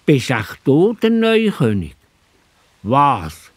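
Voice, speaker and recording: A man with a Swiss accent playing an old, pious biblical prophet in a children's audio drama, deliberate and theatrical. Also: medium pitch, huge pitch swings, slightly rough, no echo, full character voice. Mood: admiring.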